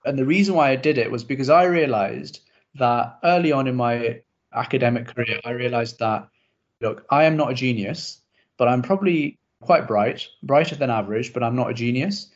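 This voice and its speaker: Chatty young man, slightly muffled audio. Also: medium pitch. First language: Urdu. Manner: chatty, self-deprecating, quick asides. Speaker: podcast host. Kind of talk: casual interview chat.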